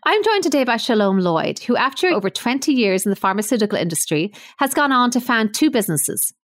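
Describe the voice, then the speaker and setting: A woman hosting an interview podcast, reading scripted introductions and asking lively questions. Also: on the high side, brisk, no echo, clean studio sound. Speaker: podcast host.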